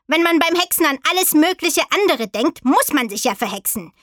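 A woman voicing a grumpy, scolding male raven in a children's storybook, with a croaky, screechy, sarcastic delivery. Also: sits high, lively intonation, brisk, a bit bright, no room sound, clean studio sound, pitched above the performer's own voice. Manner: reproachful, sarcastic.

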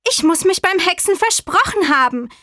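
A woman voicing a headstrong, quick-tempered little witch in a children's storybook, with an emphatic, huffy manner. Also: extremely high pitch, animated, bright, forceful tone, dry recording, studio quality, pitched above the performer's own voice, heavily acted. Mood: defensive.

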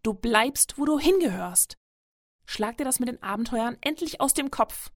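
A stern mother tiger from a children's storybook, played by a woman. She snaps out commands in a fairly high, forceful voice. The sound is close and dry. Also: expressive, normal speed, no room sound. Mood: angry, commanding. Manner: snapping, commanding.

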